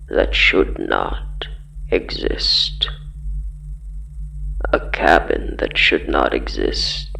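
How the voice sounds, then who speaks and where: An actor playing an ominous horror storyteller in an audio drama, speaking in solemn, halting phrases with a gravelly, sometimes breathy voice. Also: mid-range voice, a bit bright, slight background noise, exaggerated voice, poor audio. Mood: dark, ominous. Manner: halting, solemn, deliberate phrasing, repeating for effect. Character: ominous, slow storyteller of a haunted cabin horror tale. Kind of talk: dramatic horror fiction narration.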